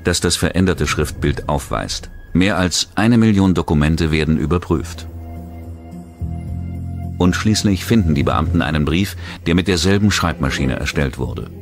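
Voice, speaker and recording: A man narrating a true-crime documentary in a measured, scripted delivery. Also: rumbling low, animated, creaky. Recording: narration over loud music.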